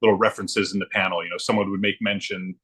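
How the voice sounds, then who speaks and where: A man's voice: low-pitched, flat delivery, quick pace, fairly bright tone, husky. A man speaking as a podcast guest in casual chat, agreeable and thinking aloud with hesitations. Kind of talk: casual chat about comic books.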